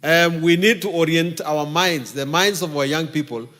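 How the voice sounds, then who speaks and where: A Kenyan man, a member of parliament, giving a measured debate speech, pausing often on fillers, in a parliament chamber. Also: on the high side, a bit bright.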